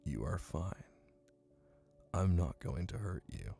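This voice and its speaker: A man playing a smug, soothing, dominant hypnotist boyfriend in an intimate ASMR roleplay, coaxing and teasing slowly in a gravelly, breathy voice. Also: deep voice, lively intonation, warm tone, heavily acted. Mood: reassuring, possessive.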